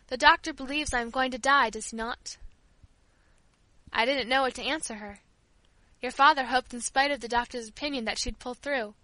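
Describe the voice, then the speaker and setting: A woman's voice: high voice, pushed and bright, dry recording. A woman voicing a kindly, grieving old female servant who tells her tale tenderly in an audiobook. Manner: tender, fond, rambling storyteller. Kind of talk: audiobook character dialogue.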